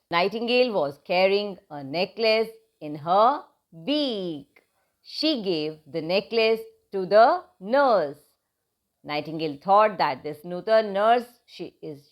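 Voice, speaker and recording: A woman teaching young children phonics, patiently stretching out and repeating each letter sound. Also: mid-range voice. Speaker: kindergarten teacher. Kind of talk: children's phonics lesson with read-aloud story.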